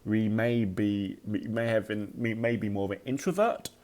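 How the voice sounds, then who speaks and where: A man with a low-pitched voice talks informally and motivationally, as on a podcast. His speech has a slight occasional stutter, with repeated words and a halting flow, and he leans firmly on his key points. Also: darkish tone. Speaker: podcast host.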